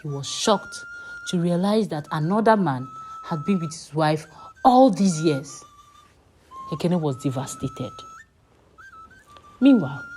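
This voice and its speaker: A woman's voice: low voice, dark tone. A woman storyteller reading a scripted folktale in a dramatic narrating style.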